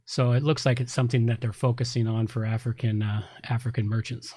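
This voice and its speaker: A man with a medium-pitched voice co-hosts a casual tech podcast. He talks informally and bluntly, offering opinions in an offhand way. The sound is dry, with little room in it. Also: little pitch movement, a little fast, dark tone, no echo. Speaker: podcast co-host.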